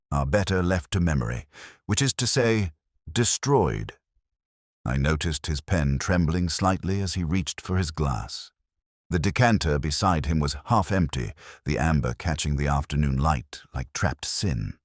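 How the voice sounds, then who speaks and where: A man narrating a detective story in the first person as a world-weary, reflective inspector, in a measured, literary and brooding manner. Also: rumbling low, dry recording, clean studio sound. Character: world-weary, reflective male police inspector recounting a case. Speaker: audio drama narrator.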